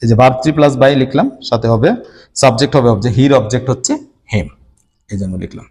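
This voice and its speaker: A man teaching an English grammar lesson, explaining rules step by step to his students and pausing as he writes examples. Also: medium pitch, a little mellow.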